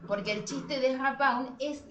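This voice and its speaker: A woman's voice: high-pitched, normal speed, fairly bright tone. A woman with an Argentine accent co-hosting a podcast, discussing literature casually in a colloquial, irreverent way. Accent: Argentine Spanish. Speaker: podcast co-host. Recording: roomy, echoing sound.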